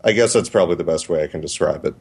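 A man co-hosting a podcast in casual chat, speaking thoughtfully with frequent pauses and restarts. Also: sits low, darkish tone, slightly rough. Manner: hesitant, many restarts, thoughtful. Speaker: podcast co-host.

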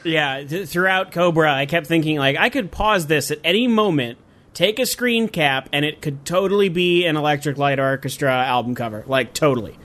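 A man's voice: on the high side, lively intonation, moderate pace, fairly bright tone. A man in his thirties co-hosting a casual podcast, rambling with restarts and fillers and swearing now and then. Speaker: podcast co-host. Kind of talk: casual chat.

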